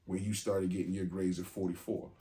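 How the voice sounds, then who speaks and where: A man's voice: deep voice, flat delivery, brisk, warm tone. A man in his forties co-hosting a podcast, talking in a candid, casual way, cursing freely and laughing easily. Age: 40s. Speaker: podcast co-host. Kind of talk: casual chat.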